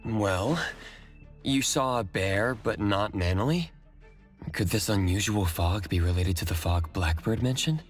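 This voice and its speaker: Guy acting lowish calm detective type, analytical, asks stuff, game cutscene w faint music. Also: unhurried, natural voice. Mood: probing. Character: calm, analytical male anomaly investigator.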